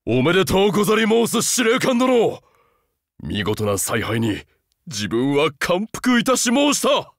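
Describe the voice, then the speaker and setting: Game voice work of a huge, loyal beast-man soldier with a haunted, humble heart. He speaks in stiff, old-fashioned military formalities, with earnest intensity. The pitch sits fairly high for a man and swings dramatically, in a very dry recording. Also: wildly swooping pitch, a little slow, no room sound, exaggerated voice. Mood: stiff, dutiful. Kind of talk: Japanese game character voice acting.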